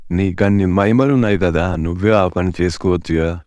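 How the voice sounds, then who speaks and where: A man's voice: deep voice, normal speed, darkish tone, no echo, lightly acted. A man playing a troubled, unlucky poor farmer in a cartoon story. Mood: doubtful.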